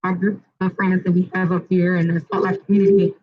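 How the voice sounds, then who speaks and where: A woman with a hoarse, raspy voice hosts a live fitness panel in an upbeat, chatty way, with plenty of fillers. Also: fairly low pitch, flat delivery, fast talker, a little hiss or hum. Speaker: panel host and fitness trainer. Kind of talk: casual live panel moderation. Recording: dull lo-fi recording.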